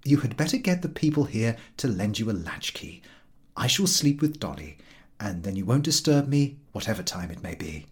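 A man voicing a sensible, calm wife in a storybook reading, composed and matter-of-fact. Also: on the low side, normal speed. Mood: practical.

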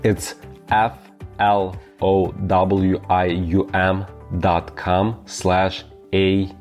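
A man with a lowish voice reads a scripted ad at an unhurried, careful pace. He spells out a web address letter by letter, and soft music plays underneath. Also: little pitch movement. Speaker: ad announcer. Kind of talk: scripted ad read.

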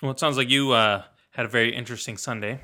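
A man with a bright, forceful voice co-hosting a casual podcast. He fires off short reactions and teasing questions at his friends. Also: medium pitch, fairly even intonation, fast. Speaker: podcast co-host. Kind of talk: casual chat.

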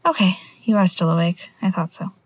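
A woman playing an earnest, eager young believer in magic in an audio drama, halting and confiding. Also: fairly low pitch, husky, no echo. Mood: quiet, relieved. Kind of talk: naturalistic audio drama acting.

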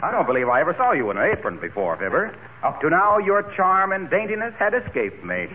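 An actor playing a jovial, smooth-talking friend and pitchman with a salesmanlike manner in a 1940s radio comedy. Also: huge pitch swings, fast. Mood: teasing. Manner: chuckling, salesmanlike. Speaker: announcer. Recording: tinny, noisy 1940s radio recording.

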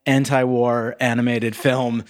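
A young man co-hosting a podcast, chatting casually about a movie in quick, animated bursts. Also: mid-range voice, no echo, studio quality. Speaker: podcast co-host. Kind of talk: casual movie review chat.

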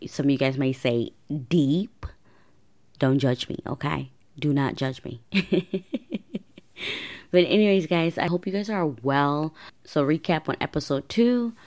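A young woman with a raspy voice hosting her own podcast, telling a personal story in a chatty way with many fillers and repeats. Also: sits low, unhurried, long pauses, darkish tone. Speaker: podcast host.

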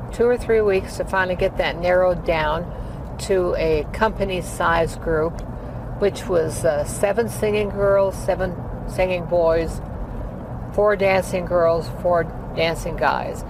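An elderly woman in her eighties rambles through her memories, full of pauses and "uh"s. She sounds like a retired singer chatting outdoors, with loud passing cars behind her. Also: on the low side, steady tone. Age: 80s. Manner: rambling storytelling with many fillers. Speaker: interviewee, former opera singer. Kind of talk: casual life-story interview. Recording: heavy traffic noise behind.

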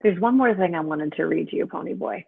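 An actress playing a gentle, wistful woman, speaking softly and earnestly in a measured, intimate, naturalistic drama style. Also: lowish voice, gravelly, slightly rough, no echo, heavily acted. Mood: earnest, impassioned. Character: gentle, wistful actress talking to an old friend by phone. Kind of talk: intimate naturalistic audio drama acting and monologue. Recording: tinny, band-limited phone line.

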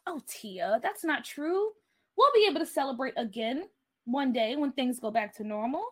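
A woman's voice: sits high, lively intonation, moderate pace, fairly bright tone. A woman voicing an eager, take-charge schoolgirl in a story, upbeat and coaxing. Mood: reassuring.

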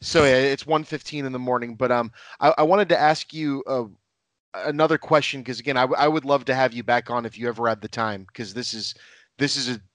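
A man's voice: mid-range voice, fairly even intonation, brisk, a bit bright, no echo. A man in his thirties hosts a podcast interview, speaking eagerly and enthusiastically with frequent stammers. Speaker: podcast host. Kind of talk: casual interview.

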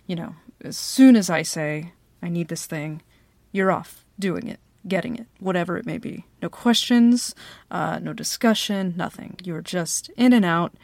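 A woman playing a bossy female CEO who interviews an applicant in a flirty ASMR roleplay, talking softly with many hesitant fillers and a teasing edge. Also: mid-range voice, gravelly, dry recording.

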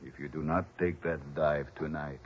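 An actor playing a menacing, smug town boss with a Spanish accent and a mock-friendly manner, in a 1960s radio drama. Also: male voice, very deep voice, fairly even intonation, moderate pace, husky. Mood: quietly menacing. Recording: dull old radio recording, faint noise.

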